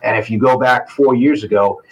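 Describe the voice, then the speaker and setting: Earnest husky-voiced male school administrator. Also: medium pitch, normal speed, lo-fi sound. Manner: earnest, explanatory, lists points. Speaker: assistant superintendent. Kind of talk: interview guest, explaining.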